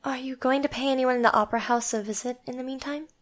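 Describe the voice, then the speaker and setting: A woman playing a timid but sympathetic young opera singer in an audio drama, with a gentle, tentative manner. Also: high-pitched, fairly even intonation, fast talker, natural voice. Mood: cautious, questioning.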